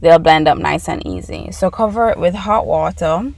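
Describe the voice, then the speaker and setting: Jamaican woman with a gravelly low voice. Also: normal speed, a little mellow, no echo. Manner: chatty, explains each step to "you guys". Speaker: cooking channel host. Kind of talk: casual cooking tutorial. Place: home kitchen.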